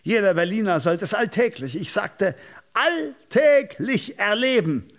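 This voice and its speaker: An actor playing a high-strung Berlin radio reporter in a German radio drama, declamatory and effusive, sometimes halting. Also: male voice, animated, gravelly, no room sound, exaggerated voice. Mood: rhapsodic. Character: high-strung, florid Berlin radio reporter, self-doubting, trapped in studio.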